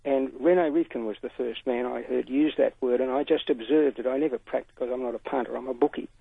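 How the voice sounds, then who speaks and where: A man's voice: medium pitch, brisk, vocal fry, husky, no room sound. An older man calling in to a radio show, talking earnestly and at length over a tinny phone line. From Australia. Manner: earnest, emphatic, talkative. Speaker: caller.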